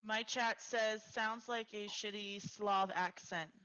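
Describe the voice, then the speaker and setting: A woman's voice: mid-range voice, fairly even intonation, pushed and bright, clear, resonant tone, lo-fi sound. A woman player chatting casually and reading out chat comments. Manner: quick, offhand, reads chat aloud.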